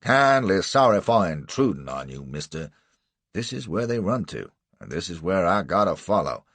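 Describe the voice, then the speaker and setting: A man voicing a swaggering, crude male slave catcher who talks in rough slang. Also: sits low, big pitch swings, normal speed, a bit bright, dry recording, heavily acted. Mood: defiant. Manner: rough drawling slang, defiant.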